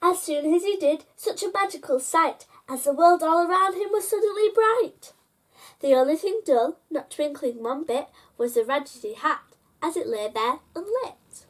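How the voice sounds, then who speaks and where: A high-voiced woman reads a bedtime picture book aloud. Her delivery is expressive and sing-song, following the rhymes, and she turns to speak straight to young listeners. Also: normal speed. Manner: sing-song, addressing children directly. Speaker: children's storyteller.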